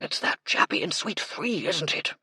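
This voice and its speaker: Woman acting out old British colonel, audiobook character, blustering, excitable, clipped military manner, husky, animated. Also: a little high, normal speed, no room sound, studio quality, heavily acted. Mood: excited, conspiratorial. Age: older. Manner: clipped, blustery upper-class military speech, stammers when startled.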